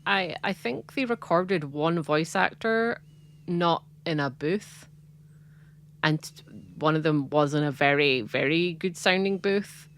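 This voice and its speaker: Scottish woman, low voice, rambly casual podcast co-host. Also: slow, fairly bright tone. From Scotland. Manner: chatty and rambling, frequent "like" and laughs. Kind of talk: casual chat.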